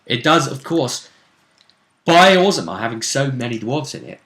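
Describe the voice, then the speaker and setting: A man commentating as host on a fantasy role-play tournament, with deliberate, halting phrasing. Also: mid-range voice, very animated, background noise. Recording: roomy, echoing sound.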